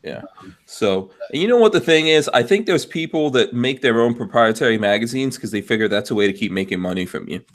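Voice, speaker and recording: A man's voice: medium pitch, animated, fairly quick. A man hosting a podcast, reading out listener comments in a laid-back, dry-humored way. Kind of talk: casual chat.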